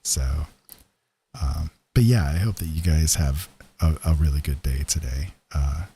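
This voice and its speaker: Deep, dark-voiced man chatting casually on stream. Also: slightly rough. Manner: easygoing, rambling, halting with frequent pauses. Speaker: live streamer, digital painter and storyteller. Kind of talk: casual chat with live stream viewers.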